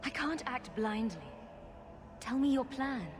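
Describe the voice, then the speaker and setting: An actress playing a confident adventurer heroine in a video game, speaking in a wry, direct, probing way. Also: female voice, high voice, animated, slow pace, background noise. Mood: suspicious. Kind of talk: dramatic video game acting.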